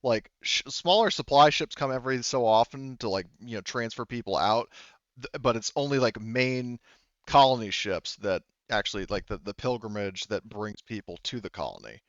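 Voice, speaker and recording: A man acting as game master, improvising explanations in a halting way and often circling back to rephrase himself. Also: medium pitch, lively intonation, a bit bright, no room sound, highs cut off. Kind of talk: improvised narration for a tabletop roleplaying game.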